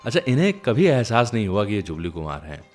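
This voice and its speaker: Low-voiced male radio host over music. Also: very animated, fast, a little mellow. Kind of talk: chatty anecdotal music show presentation. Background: music playing behind the voice.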